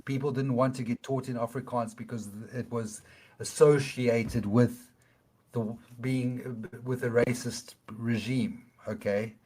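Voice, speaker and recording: Guest role: middle-aged man, Cape South African accent, halting and repetitive, mid-range voice. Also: medium pitch, fairly even intonation, a little mellow, low-quality recording. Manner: halting, many restarts, tags points with "okay?". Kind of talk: explanatory interview talk.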